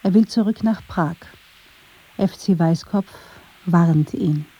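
A woman's voice: fairly low pitch, slow, mellow, husky. A woman reading scripted narration for a radio documentary.

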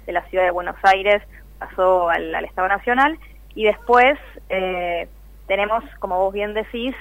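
An Argentine woman explains things in a spontaneous radio interview, earnest and conversational. Her voice sits a little low for a woman, and the sound is very dry, with no room around it. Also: normal speed, no room sound, lo-fi sound. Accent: Argentine, Rioplatense. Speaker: interviewee, layoff-tracking activist.

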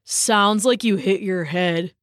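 A woman's voice: mid-range voice, slow pace, fairly bright tone, no echo. A woman voicing a skeptical, teasing schoolboy friend in a children's story, dry and doubting.

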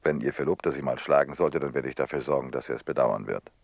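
An actor playing a worldly, gallant telepathic police prefect in a radio play, with a measured, insistent manner. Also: male voice, rumbling low, gravelly, no room sound, full character voice. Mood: urbane, playful. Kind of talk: German radio play acting. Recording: dull, dry studio radio recording.

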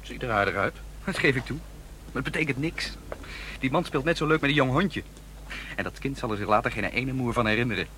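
An actor playing a defiant, cynical young student activist with a blunt, dismissive manner, in a Dutch radio play. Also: male voice, mid-range voice, animated, brisk, sharp tone, a little hiss or hum. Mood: cynical, accusing. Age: young adult.

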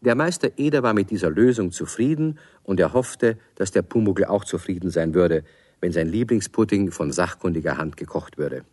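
Man, radio play narrator, fairly low pitch, lively intonation, expressive scripted storytelling, dry studio sound. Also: moderate pace, no echo. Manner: expressive, clearly phrased.

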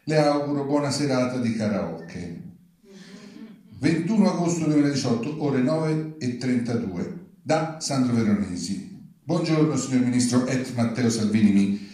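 A man reading his own satirical writing aloud at a live event, with a deadpan, mock-formal politeness. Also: medium pitch, unhurried. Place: large reverberant venue at a live reading event. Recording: very echoey live public venue.

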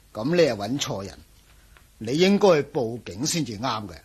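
Very raspy, flustered professor. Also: male voice, mid-range voice, big pitch swings, measured pace, exaggerated voice. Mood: sardonic. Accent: Hong Kong Cantonese. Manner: blustering, stammering. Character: testy, flustered male professor, indignant and evasive. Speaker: radio drama actor. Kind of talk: radio drama acting.

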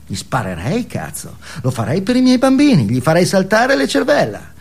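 Agitated, self-righteous family man, comic theatre monologue. High-pitched, wildly animated delivery, foul-mouthed, nags for agreement. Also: moderate pace, gravelly, husky, full character voice. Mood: defensive, menacing. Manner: agitated, swearing, punctuates with "no?" and "eh?". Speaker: stage actor performing a monologue.

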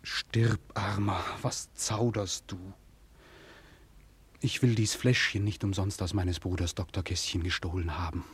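Very husky, lovelorn young man. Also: low voice, flat delivery, exaggerated voice. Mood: despairing. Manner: theatrical, halting, half-whispered. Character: anguished, lovelorn, despairing young townsman, rejected suitor. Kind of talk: 1940s German radio drama acting, brooding monologue. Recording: old 1940s radio recording.

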